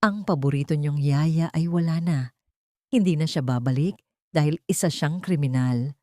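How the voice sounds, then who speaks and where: A woman's voice: low-pitched, moderate pace, no room sound, clean studio sound, close to an everyday voice. A woman voicing a scheming, manipulative rich woman in an audiobook drama. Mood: cold threatening. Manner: soft, coaxing or coldly menacing.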